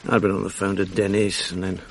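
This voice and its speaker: A man's voice: deep voice, level tone, fast talker, gravelly. An actor playing an evasive middle-aged married man with a British accent in a naturalistic radio drama, speaking in an offhand, dryly understated way. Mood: offhand, casual. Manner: offhand, hesitant, dry understatement. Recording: faint hiss on archive radio recording.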